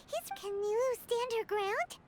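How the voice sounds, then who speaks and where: Squeaky excitable little fairy girl. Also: unhurried, very noisy. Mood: excited. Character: tiny high-voiced female fairy companion, excitable and chirpy. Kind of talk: video game voice acting.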